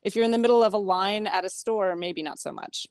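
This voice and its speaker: A woman's voice: medium pitch, a little fast, a little mellow, smooth, no room sound, studio quality. A woman tech reporter, a radio guest, talking in a chatty, wry way and laughing easily. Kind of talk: radio interview.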